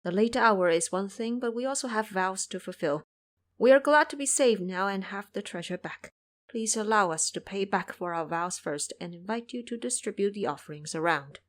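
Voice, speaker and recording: Woman as humble male monk, courteous, deferential, grateful, animated pitch, audio drama. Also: normal speed, close to an everyday voice.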